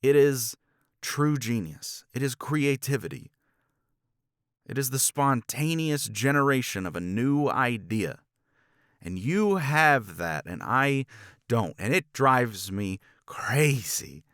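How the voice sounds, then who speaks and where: A man acting a jealous, frustrated academic in an intimate romance audio roleplay, speaking in a halting, intense manner. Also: medium pitch, expressive, a bit bright, no echo, studio quality. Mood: pained, hushed. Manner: halting, intense, slipping into breathy near-whispers. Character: jealous, frustrated male academic confessing to his assistant.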